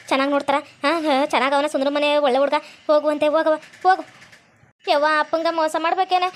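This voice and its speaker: Lady doing a village mom voice, lower and soothing, talks fast. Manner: soothing, coaxing. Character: middle-aged village mother, soothing her daughter.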